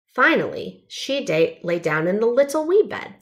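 A woman's voice: fairly high pitch, very animated, normal speed. A woman narrating a children's storybook, reading aloud carefully and expressively.

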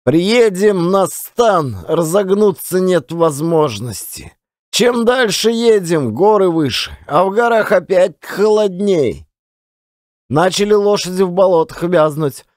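A man's voice: high voice, animated, slow, a little mellow, dry recording, studio quality. A man performing a dramatized audiobook as a folksy Russian working man telling colloquial tales of his adventures. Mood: lively storytelling. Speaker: audiobook narrator and actor. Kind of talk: one-man dramatized audiobook reading of classic fiction.

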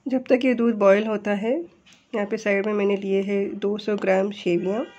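A woman's voice: mid-range voice, little pitch movement, moderate pace, mellow. Muffled recording of an Indian woman explaining. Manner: explanatory, step-by-step. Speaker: home cook and vlogger. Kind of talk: instructional recipe voice-over.

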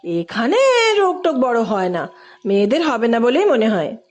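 A courteous man who teaches at a college , acted out by a woman storyteller. He talks mildly and with mock innocence, gently teasing his listener in a storybook romance. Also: a little high, big pitch swings, moderate pace, dull audio. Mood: feigned surprise. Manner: mild, feigned innocence, teasing. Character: polite, teasing male Sanskrit and Bengali college professor.

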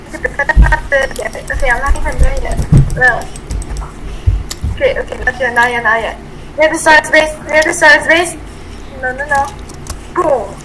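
A woman chatting excitably during a game, often repeating her words. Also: sits high, measured pace, pauses often, over music. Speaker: gamer playing a role-play game. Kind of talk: casual gameplay chat. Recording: clearly noisy home recording.

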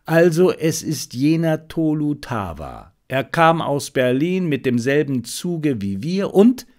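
A man's voice: a little high, sing-song, slow pace, a little mellow. A man voicing a confident, analytical male detective in an audiobook, speaking in a clipped, matter-of-fact way. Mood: brisk, reasoning. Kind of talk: audiobook character dialogue.

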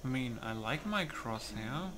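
A man's voice: medium pitch, lively intonation, unhurried, background noise. A man giving casual gameplay commentary, muttering as he reads menu options aloud. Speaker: gamer recording a playthrough. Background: music.

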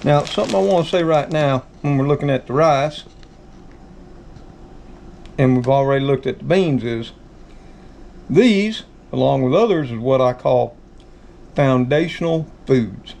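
A man's voice: mid-range voice, a little slow, creaky, long pauses, darkish tone. A middle-aged Southern American man in his home kitchen, talking viewers through his food stockpile in a folksy, plain-spoken way. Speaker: channel host. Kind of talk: casual how-to talk.

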